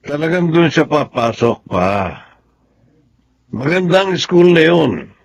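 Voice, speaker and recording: A man's voice: fairly high pitch, very animated, slow, creaky, lo-fi sound, full character voice. A man playing a strict, self-justifying father in a Filipino radio drama, speaking with a Filipino accent. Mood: explaining, proud. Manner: explaining, justifying himself. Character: strict Filipino father, ashamed of his child's grades.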